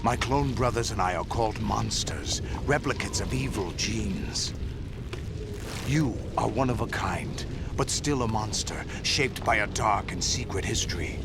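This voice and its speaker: An actor playing a menacing, grandiose middle-aged villain in a video game, speaking in a gravelly, theatrical, deliberate way. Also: male voice, lowish voice. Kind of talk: dramatic video game cutscene acting. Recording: loud music over the voice. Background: loud music.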